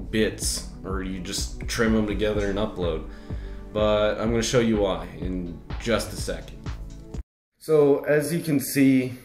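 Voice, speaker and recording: A young man answering viewer comments in a relaxed, rambling vlog chat, with frequent fillers and restarts, filmed on a webcam at his desk. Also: lowish voice, roomy sound. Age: young adult. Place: bedroom with shelves, indoors.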